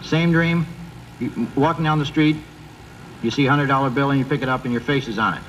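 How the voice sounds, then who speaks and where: A male comedian doing a one-sided conversation routine in a dry, halting, questioning way. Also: mid-range voice, dull audio. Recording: very noisy old video tape playback.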